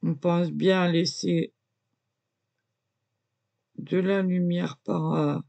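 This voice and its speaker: A woman's voice: lowish voice, fairly even intonation, extremely slow, vocal fry, dark tone. A woman casually talking viewers through her drawing, hesitant and full of filler words, prone to coughing as she talks. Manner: hesitant, lots of "voilà" and "euh"; coughs and chokes easily when talking. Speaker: amateur artist showing a drawing.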